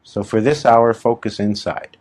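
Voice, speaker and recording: A man with a fairly low voice leads a guided meditation. He gives gentle, repetitive instructions in short phrases, leaving unhurried pauses between them. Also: fairly even intonation. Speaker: spiritual teacher leading meditation.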